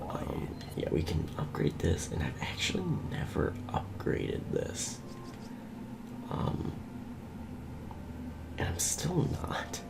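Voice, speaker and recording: A young man giving soft, rambling, half-whispered game commentary, nasal from a cold. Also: deep voice, wildly swooping pitch, takes it slowly, vocal fry, pauses often. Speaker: let's play host. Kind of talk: whispered ASMR gameplay commentary. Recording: loud background hiss.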